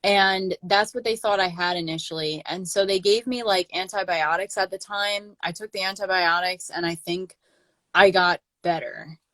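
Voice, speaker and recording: Young woman with a low voice, chatty. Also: steady tone, normal speed, fairly bright tone, dry recording. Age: 20s. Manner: rambling, many "like" fillers and restarts. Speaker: channel host. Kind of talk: casual livestream chat.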